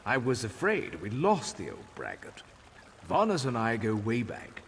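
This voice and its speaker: An actor playing a loyal, wry veteran comrade in a fantasy video game. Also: male voice, mid-range voice, huge pitch swings, unhurried, creaky, fairly bright tone, heavily acted. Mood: relieved, wry. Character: loyal, wry old male comrade in arms. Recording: long hall reverb, faint noise.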